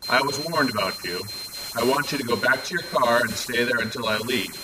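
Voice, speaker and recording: A man's voice: mid-range voice, brisk, distant mic, noisy background, natural voice. A man voicing a blunt, distrustful man who speaks firmly and curtly. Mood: hostile. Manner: firm and curt.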